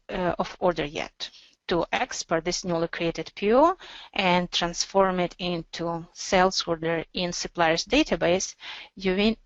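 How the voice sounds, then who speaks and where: A woman narrating an instructional software demo as a webinar presenter, explaining in a measured, step-by-step way. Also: lowish voice, dry recording, highs cut off.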